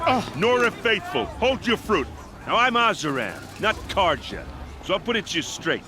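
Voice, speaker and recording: Blunt, jovial warrior. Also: male voice, high voice, big pitch swings, normal speed. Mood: rallying. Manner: blunt, colloquial. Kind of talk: cinematic video game acting.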